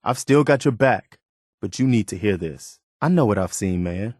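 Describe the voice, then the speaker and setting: Game companion role: loyal militia man of principle, plain-spoken and earnest, lowish pitch, very dry studio sound. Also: expressive, a little mellow, dry recording. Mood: firm, pleading. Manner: sincere, plain-spoken, measured. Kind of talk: video game companion dialogue.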